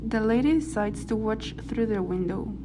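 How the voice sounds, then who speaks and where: A woman's voice: a little high, animated, moderate pace. A woman reading scripted narration for an amateur radio drama. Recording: noisy amateur home recording.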